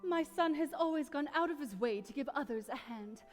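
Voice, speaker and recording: A woman's voice: high-pitched, lively intonation, fairly quick. A woman acting as a worried, devoted woman at prayer in a radio play, earnest and pleading. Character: worried, devoted women praying for loved one. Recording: echoey live hall, faint music behind.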